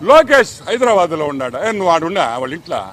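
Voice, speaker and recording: A man's voice: high-pitched, big pitch swings, rapid-fire, very noisy. A man giving mocking political commentary in a sarcastic, colloquial way.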